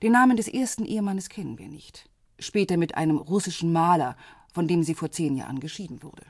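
A woman's voice: lowish voice, a little fast, darkish tone, no echo, studio quality. An actress playing a self-assured police inspector in her forties in a radio crime drama, speaking in a businesslike, matter-of-fact way. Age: 40s.

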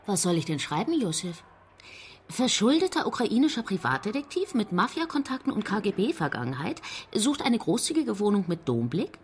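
Animated, touchy female assistant played by an actress. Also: mid-range voice, normal speed, lightly acted. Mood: flustered. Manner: animated, chatty, easily piqued. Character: eager, touchy female detective agency assistant. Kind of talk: German radio drama dialogue. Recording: clear background noise.

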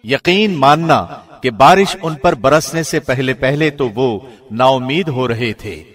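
A man calmly reading a scripted translation in measured phrases, recorded in a studio. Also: medium pitch, faint music behind. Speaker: translation narrator. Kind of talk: scripted narration.

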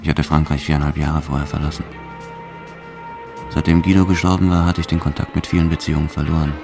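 A very deep, gravelly hardened gangster. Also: male voice, flat delivery, quick pace, over music. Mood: matter-of-fact, hard. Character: hardened male French bank robber, reckless and cold. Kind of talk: first-person radio drama narration.

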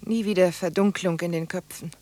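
An actress playing a hopeful, earnest young wife after the war, speaking quietly in a radio drama. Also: female voice, on the low side, steady tone, brisk, lightly acted.

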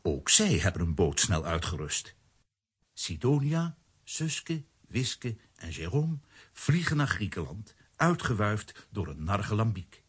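A husky narrator telling a children's adventure. The voice is low and very rough, with hugely varied intonation. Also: male voice, big pitch swings, fairly bright tone, full character voice. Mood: storytelling. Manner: measured storytelling. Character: storytelling male narrator of a comic adventure. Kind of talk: scripted children's audio drama narration.

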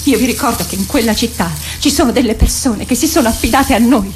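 A woman's voice: fairly high pitch, fast, background noise, natural voice. A woman playing a hard, pragmatic, sarcastic comrade-in-arms in a radio drama, challenging and impatient with mocking questions. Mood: stern. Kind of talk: theatrical radio drama acting.

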